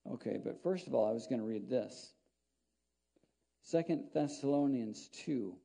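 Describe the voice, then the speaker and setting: Man, middle-aged, pastor, sermon, very mellow dark tone, rambling conversational delivery, small church, podium mic. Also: medium pitch, unhurried, vocal fry. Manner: conversational, rambling, with frequent restarts. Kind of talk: sermon with scripture reading. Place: small church sanctuary, indoors.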